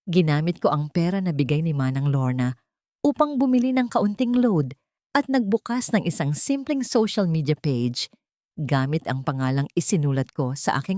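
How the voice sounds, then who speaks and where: A woman's voice: low-pitched, mellow, dry recording, studio quality. A woman reading a scripted first-person dramatic story as an audiobook narrator.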